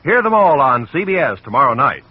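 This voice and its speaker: A man's voice: mid-range voice, sing-song, fairly quick, very noisy. A man delivering a polished, upbeat scripted radio announcement with emphatic delivery. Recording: dull old radio recording.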